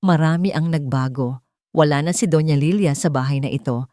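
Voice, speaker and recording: A woman's voice: low-pitched, fairly quick, mellow, no echo, clean studio sound. A woman with a Filipino accent narrating a romantic drama in a scripted, dramatic storytelling style. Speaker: narrator of love stories.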